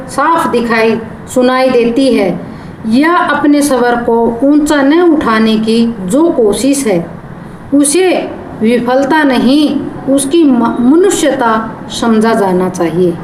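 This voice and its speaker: Slow-paced woman teacher, echoey room. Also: sits high, expressive, darkish tone. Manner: repeats and rephrases, glossing words. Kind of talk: explaining a poem line by line. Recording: echoey room with background noise.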